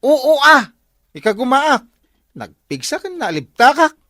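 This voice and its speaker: A man's voice: extremely high pitch, sing-song, measured pace, pushed and bright, no room sound, clean studio sound, full character voice. A man acting a cocky, boastful younger village watchman in an Ilocano comedy drama, with an Ilocano accent.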